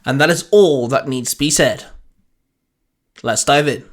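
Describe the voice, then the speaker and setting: A man's voice: medium pitch, unhurried. A man hosting a story channel, delivering a scripted introduction. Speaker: channel host.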